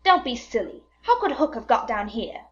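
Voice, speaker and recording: A woman voicing a brash, eager young boy hero in a storybook reading, bold and quick to exclaim. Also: a little high, very animated, dull audio, voice pushed up. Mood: scoffing.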